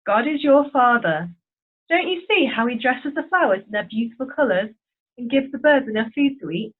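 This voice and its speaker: Calm, gentle teacher Jesus read by a woman; tinny audio. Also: fairly high pitch, normal speed. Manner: gentle, reassuring. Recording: tinny phone-like line.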